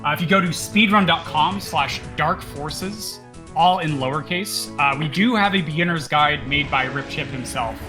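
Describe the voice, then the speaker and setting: A man's voice: a little high, normal speed, music in the background. A man co-commentating a live speedrun, explaining the game in a casual way.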